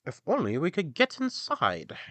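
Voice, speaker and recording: A man's voice: fairly high pitch, huge pitch swings, moderate pace, pushed and bright, dry recording, voice pushed up, exaggerated voice. A man playing an old gray donkey in a fairy tale, eager and emphatic. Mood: eager, excited. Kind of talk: storybook character voice.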